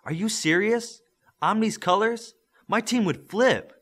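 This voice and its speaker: A man voicing an eager young male superhero trainee in an audiobook, earnest and excitable. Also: high voice, unhurried, voice pushed up. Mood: amazed. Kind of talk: audiobook character acting.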